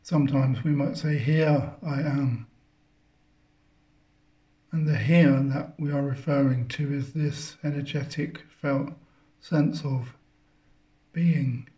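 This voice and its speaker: A man guides a meditation at a very slow pace, with a soft-edged, mellow tone. His delivery stays even and contemplative, in short halting phrases separated by long pauses. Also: medium pitch, muffled recording. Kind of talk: guided meditation, spiritual talk.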